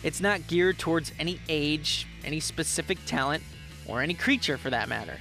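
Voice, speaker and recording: Young male TV reporter, high voice, chatty and enthusiastic. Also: expressive, a little fast, vocal fry, pushed and bright, soft background music. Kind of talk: upbeat travel report narration.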